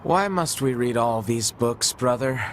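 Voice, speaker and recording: Man as a slow-talking childlike younger brother. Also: medium pitch, lively intonation, slight background noise, lightly acted. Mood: childlike, restless. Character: childlike, impatient, playful younger-brother android. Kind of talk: English video game voice acting.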